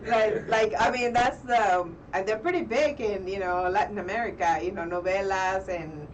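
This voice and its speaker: A woman's voice: medium pitch, moderate pace. Chatty Mexican woman on a distant mic. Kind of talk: casual chat. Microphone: internet video call. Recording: distant mic with faint noise.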